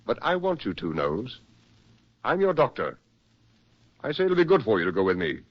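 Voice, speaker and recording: A man acting a brisk, persuasive military psychiatrist with genteel, insistent delivery in a 1940s radio drama. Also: mid-range voice, huge pitch swings. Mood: hearty, coaxing. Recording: muffled 1940s radio recording.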